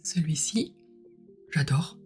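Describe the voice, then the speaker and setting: A woman's voice: low voice, lively intonation, slow pace, darkish tone. Gushing, slightly ill woman with background music. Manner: gushing, informal, exclaims often. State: mildly ill, clearing throat. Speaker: host of a tarot unboxing channel. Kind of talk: casual unboxing commentary.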